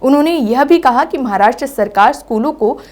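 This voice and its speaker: Female news anchor, slightly high voice. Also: animated, fairly quick. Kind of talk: scripted news bulletin reading.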